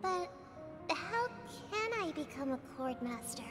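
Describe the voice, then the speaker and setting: A woman's voice: high voice, very animated, measured pace. A woman playing an earnest, dreamy little sister in a video game, recorded with long reverb. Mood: earnest, hopeful. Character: earnest little girl, dreamy younger sister who longs to sing. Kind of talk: dramatic video game voice acting. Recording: long reverb, music behind.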